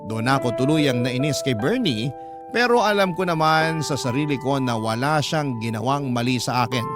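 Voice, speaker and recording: A man narrating a listener's love story as a radio host, in a dramatic scripted reading style. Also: a little high. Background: loud background music.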